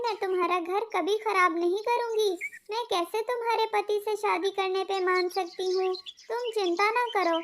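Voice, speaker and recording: Woman as a high-voiced kindly butterfly sister. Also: fairly bright tone, a little hiss or hum. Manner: earnest, emotional. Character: kind, wronged young female butterfly sister, forgiving. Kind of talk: Hindi children's cartoon dialogue.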